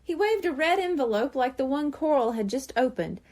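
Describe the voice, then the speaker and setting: A woman reading a children's story aloud as scripted, expressive narration. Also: high-pitched, normal speed.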